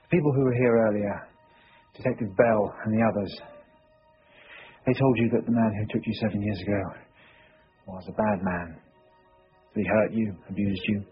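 A wry British consulting detective, blunt yet measured, with a fairly low voice. His lines come through muffled television sound with faint music underneath. He speaks in a dry, deliberate way. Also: steady tone, slightly rough. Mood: coaxing. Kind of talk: TV drama acting.

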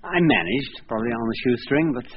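A man from Wales, a jockey, answering questions in an archive radio interview in a modest, polite, unhurried way. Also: medium pitch, expressive. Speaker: jockey guest. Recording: dull old radio recording with faint hiss.

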